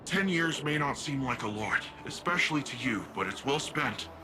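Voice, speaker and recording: An actor playing an earnest, informal sci-fi city defender in a game cutscene, brave and wry but shaken. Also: male voice, medium pitch, lively intonation, moderate pace, noisy background, natural voice. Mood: grieving, bravely wry. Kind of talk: video game cutscene acting.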